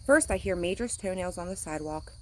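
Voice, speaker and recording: Lower-voiced woman reading a picture book. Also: lively intonation, fast, slight background noise. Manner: acts out sound words; simple, addressing young listeners. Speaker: teacher reading aloud to children. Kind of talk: picture-book read-aloud.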